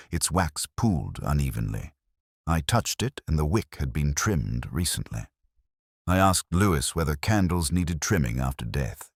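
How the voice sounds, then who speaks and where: Male actor as brooding inspector narrating a detective drama: extremely low voice, expressive intonation, measured delivery. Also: breathy edge, no echo, clean studio sound, heavily acted. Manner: measured, literary, reflective. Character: brooding male police inspector recounting a murder case. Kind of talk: first-person scripted detective story narration.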